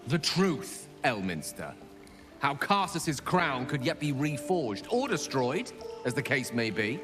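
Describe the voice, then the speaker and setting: A man's voice: mid-range voice, sing-song, slow, over music. A man playing an eloquent, wounded wizard in a fantasy game, dry, wry and articulate. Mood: measured. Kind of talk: fantasy video game acting.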